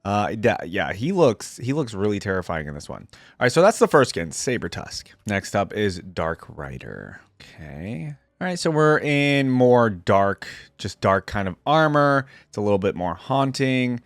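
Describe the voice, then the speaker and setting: A man's voice: on the low side, very animated, unhurried, no echo, clean studio sound. A man giving casual reaction commentary as a video host, thinking aloud as he goes.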